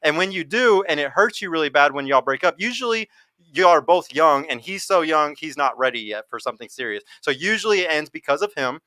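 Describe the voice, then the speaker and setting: A man's voice: on the high side, lively intonation, brisk, bright, forceful tone, dry recording, poor audio. A man in his thirties hosting a casual panel debate, speaking informally and swearing freely. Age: 30s. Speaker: podcast host.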